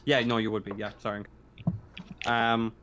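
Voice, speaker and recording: A man talking as game master of a casual tabletop game, halting and repeating phrases as he narrates. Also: on the low side, level tone, fairly bright tone, a little hiss or hum.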